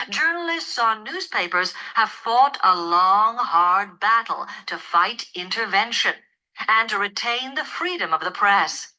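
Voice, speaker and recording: A woman delivering a scripted academic lecture in a measured, formal, explanatory manner. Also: mid-range voice, sharp tone, low-quality recording. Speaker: lecturer in a listening test.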